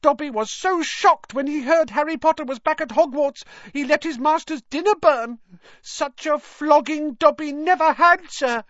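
A narrator voicing a small, servile male house elf from a storybook, squeaky, anxious and deferential, pleading in the third person. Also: moderate pace, a bit bright, no room sound, muffled recording. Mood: eager, excited.

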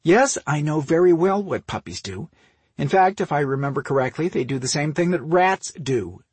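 A man's voice: fairly high pitch, expressive, normal speed, breathy edge, no echo, voice pushed up. A man voicing a teasing, smugly sweet older sister in an audiobook, breezy and unruffled. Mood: sweetly smug.

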